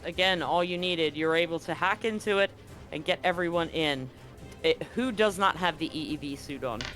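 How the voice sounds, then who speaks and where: Male game master, higher register, conversational rules talk with dramatic scene narration, faint music underneath. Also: normal speed. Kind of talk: running a live tabletop sci-fi roleplaying game, explaining rules and narrating. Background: soft background music.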